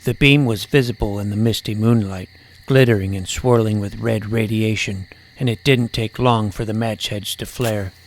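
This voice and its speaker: A man playing an earnest, self-important junior high superhero who narrates his own adventure with mock-dramatic delivery. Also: fairly even intonation, normal speed, a little hiss or hum, natural voice. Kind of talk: scripted first-person story narration.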